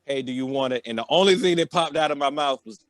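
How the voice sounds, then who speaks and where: A man's voice: mid-range voice, fast talker, no echo. An American man telling a personal story in a loose, rambling, slangy way, often tacking on filler phrases. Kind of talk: casual, rambling comedic storytelling.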